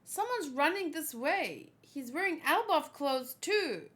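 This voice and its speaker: A woman acts out a young manga pirate crewmate, wary and easily startled. The voice runs high and bright, jumping around with questions and exclamations. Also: big pitch swings, slow, heavily acted. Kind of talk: acted manga read-along.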